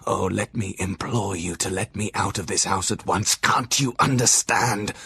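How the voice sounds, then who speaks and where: Audio drama role: male actor as an intense, pleading madhouse inmate, husky voice, close dry sound. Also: low-pitched, a little slow, sharp tone, no echo, exaggerated voice. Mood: frantic pleading. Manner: imploring, intense. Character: frantic male asylum patient, desperate and pleading.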